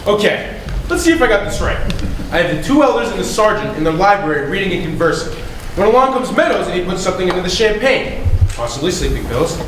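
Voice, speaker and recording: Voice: mid-range voice, sing-song, fast talker, close to an everyday voice. A teenager in a school play acting as a wry, impatient investigating police officer. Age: teens. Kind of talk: student stage acting in a one-act comedy. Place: school stage, recorded from the audience. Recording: distant, noisy, very echoey stage recording.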